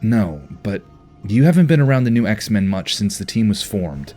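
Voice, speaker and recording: A man's voice: fairly low pitch, big pitch swings, normal speed, mellow, soft background music. A man playing an earnest, caring team leader in an audio drama, speaking with sincere concern. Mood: earnest, frustrated.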